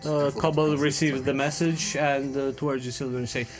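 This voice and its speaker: A man narrating as game master for a tabletop game, halting with many fillers. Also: mid-range voice, little pitch movement, loud music behind the voice.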